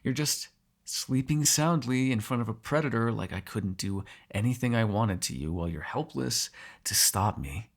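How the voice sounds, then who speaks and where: A man voicing a teasing, sarcastic vampire with a gravelly, husky voice in an ASMR roleplay. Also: medium pitch, lively intonation, normal speed. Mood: quiet, musing. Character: snarky, teasing male vampire captive, predatory yet fond. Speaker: voice actor in audio roleplay.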